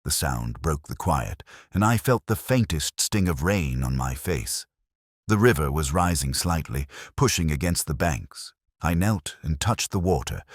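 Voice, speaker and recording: A man playing a melancholy, reflective police detective, narrating an audio drama in a measured, literary manner. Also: extremely low pitch, no echo, studio quality, exaggerated voice. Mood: pensive. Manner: measured, literary, brooding delivery. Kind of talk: scripted first-person audio drama narration.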